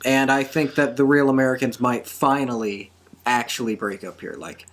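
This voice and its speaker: A man's voice: medium pitch, normal speed. Casual male podcast host. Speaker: host of a wrestling predictions podcast. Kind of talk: casual panel discussion.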